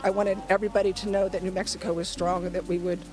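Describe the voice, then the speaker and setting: A woman giving halting, unscripted interview remarks. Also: on the low side, level tone, over music.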